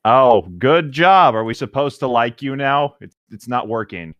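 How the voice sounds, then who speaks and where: Wry man with lively pitch, dry sound. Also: a little slow, no echo, lo-fi sound. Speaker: co-host of a group read-along show. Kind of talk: casual chat mixed with reading a novel aloud.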